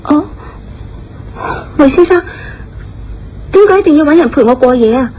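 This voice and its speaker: A woman's voice: high-pitched, lively intonation, normal speed, slight background noise. An actress playing an anxious, polite young woman who skis, in a radio drama. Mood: uneasy, anxious. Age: young adult. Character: anxious, polite young female skier confiding in a stranger. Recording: dull lo-fi radio drama, music behind.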